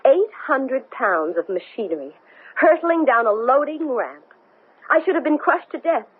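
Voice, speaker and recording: Tinny vintage radio, intense woman astronaut, high husky voice, urgent dramatic delivery. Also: animated, a little slow, full character voice. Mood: insistent. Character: desperate, intense woman astronaut hosting an alien. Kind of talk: 1970s radio drama acting. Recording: thin, band-limited 1970s radio broadcast.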